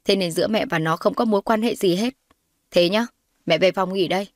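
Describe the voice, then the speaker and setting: A woman's voice: mid-range voice, brisk, no room sound, natural voice. A haughty middle-aged matriarch played by a woman narrator in an audio drama. She is cold and scornful, sneering her accusations, and the sound is close and dry.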